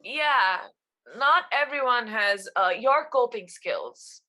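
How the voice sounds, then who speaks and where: A woman's voice: a little high, animated, slow, bright, forceful tone, heavily acted. A woman acting an exasperated, pleading adult daughter in a comedy table read. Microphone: video call.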